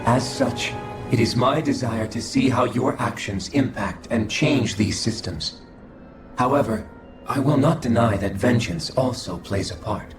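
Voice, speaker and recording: A man voicing a coldly logical, formal alien scholar in a video game cutscene, speaking in a measured, clinical way. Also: mid-range voice, close to an everyday voice. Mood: calm, formal. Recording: echoing voice over game music.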